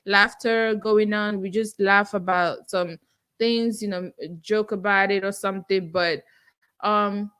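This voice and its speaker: A young woman with a Nigerian accent hosting her own podcast, answering listener questions in an earnest, self-encouraging way with frequent fillers. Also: medium pitch. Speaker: podcast host. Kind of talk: solo livestream Q&A.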